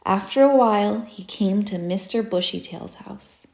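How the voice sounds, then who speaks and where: A woman reading a children's story aloud in an expressive, deliberate storytelling style. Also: medium pitch, vocal fry. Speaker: storybook reader, teacher. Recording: roomy echo.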